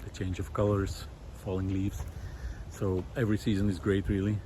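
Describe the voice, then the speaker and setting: A middle-aged man musing aloud in a reflective, hesitant monologue with frequent pauses, recorded while walking outdoors. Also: sits low, monotone, mellow, low-quality recording. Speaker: visual artist and vlog host. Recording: outdoor ambient noise.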